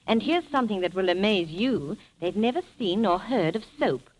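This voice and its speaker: A woman's voice: medium pitch, animated, normal speed. A woman telling travel tales as a guest in a scripted 1930s radio interview, with a polished, formal delivery. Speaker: guest traveller and film-maker. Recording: faintly noisy 1930s radio broadcast.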